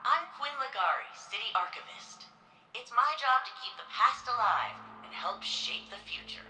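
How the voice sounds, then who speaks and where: An eloquent archivist of a futuristic game city, guiding visitors through a memorial hall. The voice is very bright and projected, carried on a roomy echo with music behind. The delivery is stately, polished and reverent, like a scripted museum recording. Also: female voice, medium pitch. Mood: bright, welcoming. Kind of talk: scripted museum tour narration.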